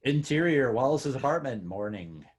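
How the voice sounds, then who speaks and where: A man's voice: medium pitch, lively intonation, moderate pace, slight background noise. A man reading out short scene headings as scripted narration in a table read.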